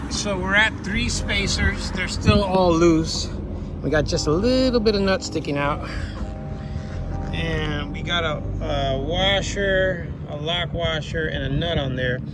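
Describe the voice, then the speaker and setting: A man's voice: on the high side, unhurried, heavy background noise. A Cuban American man from New York with a Spanish-tinged accent, joking around casually while working outdoors on a van roof. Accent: Cuban American Spanish-tinged English. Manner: joking, playful. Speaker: van-life vlogger.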